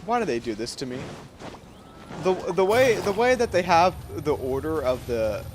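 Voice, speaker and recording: Lively, higher-pitched man livestreaming a game. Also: moderate pace, noisy background. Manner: playful, self-deprecating. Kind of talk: casual livestream chat while gaming. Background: game music.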